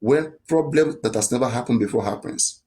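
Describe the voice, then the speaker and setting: A man's voice: medium pitch, brisk, warm tone. Insistent man. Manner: insistent, repeats points, asks rhetorical questions. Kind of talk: animated opinionated chat.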